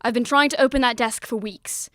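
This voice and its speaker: Woman as high-voiced, coldly menacing butler. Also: a little fast, fairly bright tone, dry recording, clean studio sound, close to an everyday voice. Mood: menacing, smug. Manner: formal, mock-deferential. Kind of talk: amateur audio drama acting.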